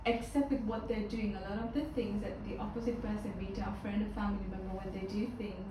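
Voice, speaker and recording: A woman from Tanzania leading a group discussion, talking in a loud, expressive way. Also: mid-range voice, fast talker, background noise. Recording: echoey room, distant mic.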